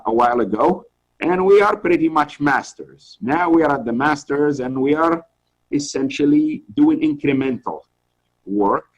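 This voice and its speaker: A man's voice: mid-range voice, rough recording. A middle-aged man from Tunisia, a journal editor, giving an earnest, encouraging webinar talk with many restarts over a video call. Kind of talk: informal lecture.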